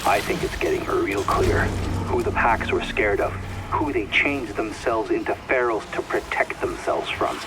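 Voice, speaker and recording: A man voicing a calm, measured companion in video game dialogue. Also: lowish voice, heavy background noise, lightly acted.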